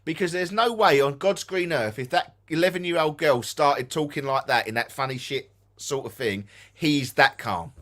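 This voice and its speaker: A man's voice: fairly high pitch, normal speed, fairly bright tone. A man with an Essex English accent co-hosting a ghost hunting live stream, chatting casually and repeating himself for emphasis.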